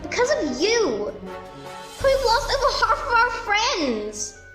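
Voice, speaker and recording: A woman plays a blunt, hot-tempered cartoon pony girl with a very high, lively voice. She is sarcastic and accusing. The recording has a roomy echo. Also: takes it slowly, heavily acted. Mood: angry. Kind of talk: fan fiction audio drama acting. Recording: roomy echo under loud music.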